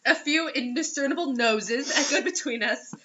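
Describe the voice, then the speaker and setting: A woman's voice: sits high, normal speed, dull audio, background noise. A woman reading fiction aloud, giggly and often breaking off into incredulous joking asides. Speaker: reader and co-host mocking bad fiction.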